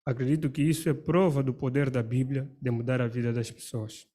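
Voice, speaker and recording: A man reading a religious study text aloud to a congregation in a measured, formal way. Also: medium pitch, flat delivery, dark tone. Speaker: paragraph reader.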